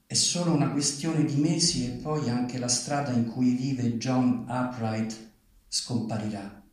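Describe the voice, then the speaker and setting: A man speaking and reading at a live literary event, with a halting delivery. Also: medium pitch, steady tone. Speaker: writer reading at a public event. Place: large reverberant venue, live event. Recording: very echoey hall, distant from microphone.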